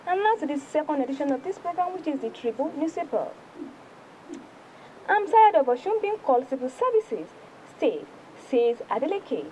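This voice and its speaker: A woman with a Nigerian accent presenting a television newspaper review, reading stories aloud in an emphatic, deliberate way. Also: sits high, warm tone, a little hiss or hum, poor audio.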